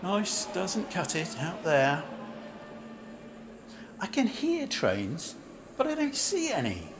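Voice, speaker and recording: Blunt, animated male city banker, acted by a man. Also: high-pitched, slow, background noise, exaggerated voice. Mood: defensive, cynical. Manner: blunt, grumbling, questioning. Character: brusque, chatty city banker waiting for a train. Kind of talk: amateur radio play acting.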